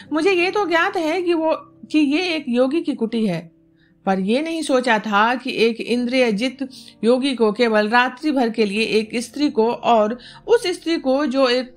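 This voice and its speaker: A woman's voice: sits high, expressive, measured pace. A woman voicing a respectful, candid nobleman in a storybook reading, with courteous, formal address. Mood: politely challenging. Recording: music bed behind the voice.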